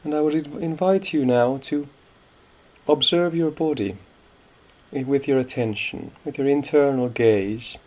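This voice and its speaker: A man guiding a meditation in a calm, unhurried manner, leaving long pauses between phrases. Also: mid-range voice. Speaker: meditation guide. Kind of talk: guided meditation, unscripted instruction.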